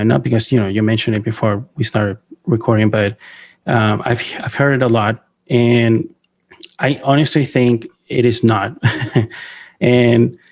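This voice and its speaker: Venezuelan-born man, halting podcast guest. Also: medium pitch, a little mellow. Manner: halting, many fillers and restarts, laughs often. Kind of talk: casual interview talk.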